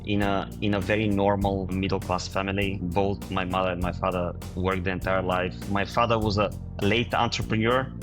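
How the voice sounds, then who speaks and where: A man from Bulgaria, a startup founder answering interview questions in a halting way, with frequent restarts and fillers. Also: deep voice, level tone, music in the background.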